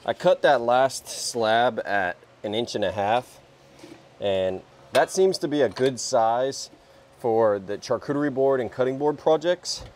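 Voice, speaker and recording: A young man hosting a casual workshop vlog, talking in a relaxed way as he works at a sawmill under an open-sided shed. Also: medium pitch, measured pace, a little hiss or hum. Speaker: sawmill channel host.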